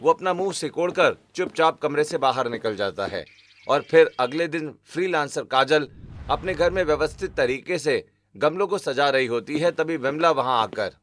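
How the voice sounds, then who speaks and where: A man's voice: a little high, lively intonation, normal speed, fairly bright tone. A man narrating a scripted cartoon story as its storyteller. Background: background music.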